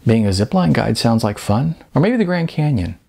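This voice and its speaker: A man narrating a storybook plays a shy, awkward guy who stumbles over his words. His voice is gravelly and husky, with lively swings in pitch. He sounds unsure of himself and self-effacing. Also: exaggerated voice. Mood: resigned, self-deprecating. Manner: halting, stammering. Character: shy, hesitant, awkward man, a bored writer.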